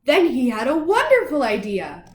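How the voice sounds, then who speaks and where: Woman, high voice, expressive children's storybook read-aloud, dramatic phrasing, roomy echo. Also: normal speed.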